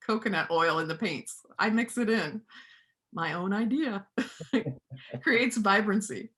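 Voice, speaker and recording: Bright-voiced, gentle widowed farm woman, acted by a woman. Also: medium pitch, animated, takes it slowly. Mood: warm, modest. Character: Iris, kind widowed farm-animal worker and painter. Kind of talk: stage reader's theater dialogue.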